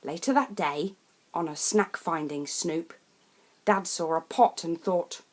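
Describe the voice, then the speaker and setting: A woman reads a rhyming children's picture book in a theatrical, deliberate and emphatic way. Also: on the low side. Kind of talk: expressive rhyming storybook narration.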